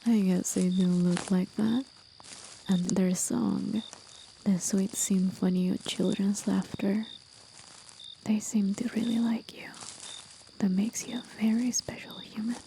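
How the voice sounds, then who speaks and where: A gentle old demon of the forest, played by a woman, murmurs in a very slow, intimate half-whisper. The voice is dark and soft with a creaky edge, soothing and a little mysterious, in the manner of an ASMR roleplay. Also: medium pitch, fairly even intonation, slight background noise. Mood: soft, coy.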